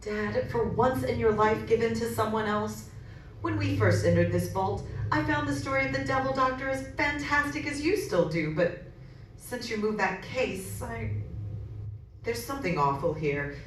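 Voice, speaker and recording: A woman's voice: fairly high pitch, moderate pace, natural voice. A woman playing an anxious, earnest young daughter in an old-style radio horror drama. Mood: uneasy, insistent. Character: anxious young daughter, sensible but frightened. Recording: very echoey room, distant mic, faint noise.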